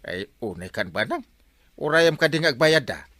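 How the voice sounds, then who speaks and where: Village captain, Ilocano accent. Defensive, stubborn, soft-hearted; hugely lively delivery, dry sound. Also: male voice, on the high side, normal speed, no echo. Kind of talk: comedy radio drama acting.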